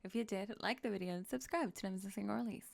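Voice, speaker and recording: A woman speaking quietly and softly as host of an ASMR channel, giving a simple sign-off to listeners. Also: medium pitch, fast talker, vocal fry. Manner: quiet, soft delivery. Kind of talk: soft-spoken ASMR channel outro.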